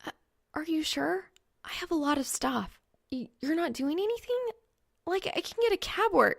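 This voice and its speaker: A woman voicing a fiery, excitable young woman gamer in an audiobook, speaking in a dramatic, flustered, slangy way. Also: very high-pitched, normal speed, gravelly, pitched above the performer's own voice, heavily acted. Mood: flustered, hopeful. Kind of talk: audiobook character dialogue.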